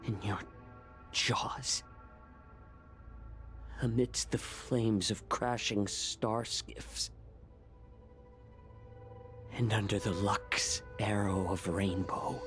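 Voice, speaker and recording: An actor playing a grieving but resolute fox-man healer in a video game, solemn, quietly bitter and breathy as phrases end. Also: on the low side, steady tone, extremely slow, pauses often, over music. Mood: sorrowful. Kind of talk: dramatic video game monologue.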